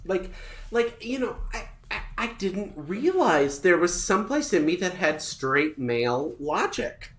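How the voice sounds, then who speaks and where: A woman's voice: on the low side, measured pace, reverberant. A woman in her thirties, a podcast co-host telling a self-mocking story in casual chat. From United States. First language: English. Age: 30s. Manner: animated, self-mocking storytelling with frequent laughter.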